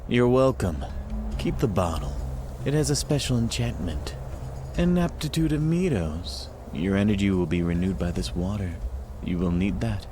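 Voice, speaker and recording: Man playing Gahiji, deep-voiced regal desert ruler. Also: expressive, normal speed, background noise. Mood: commanding, calm. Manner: authoritative, formal. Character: Gahiji, regal male desert ruler, commanding, benevolent master. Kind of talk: fantasy audio drama acting.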